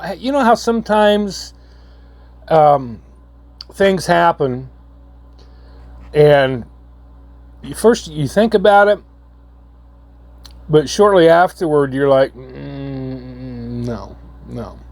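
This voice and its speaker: A hoarse man, coming down with a cold, giving a halting, earnest update to his viewers. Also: fairly high pitch, no echo. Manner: halting, repeats words, slow and earnest. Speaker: channel host. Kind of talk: casual vlog update.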